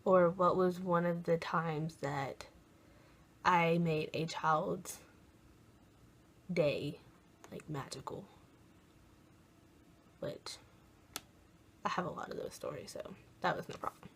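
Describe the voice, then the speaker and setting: A young American woman chatting casually in a vlog, often pausing as she tries to recall things. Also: on the low side. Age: young adult. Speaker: college program applicant vlogger.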